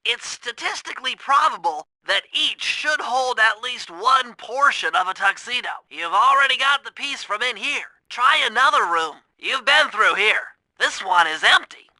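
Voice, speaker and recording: Video game role: brainy young male turtle gadget expert. Extremely sharp, bright tone, fussy technical delivery, very dry close recording. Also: very high-pitched, lively intonation, moderate pace, hardly any pauses, no room sound, exaggerated voice, low-quality recording. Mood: earnest instructing. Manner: precise, wordy, technical instructing. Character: nerdy young male cartoon turtle, brainy, earnest gadget-minded strategist. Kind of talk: video game character voice acting.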